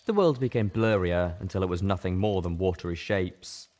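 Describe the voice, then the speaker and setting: A man's voice: low voice, normal speed, a little mellow. A man reading an audiobook as a dramatic, intense first-person narrator. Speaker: audiobook reader.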